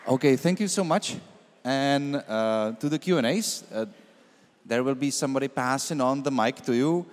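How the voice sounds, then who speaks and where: A man's voice: mid-range voice, moderate pace. A man informally moderating a question and answer session from the stage. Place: large wooden concert hall with audience. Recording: echoey concert hall.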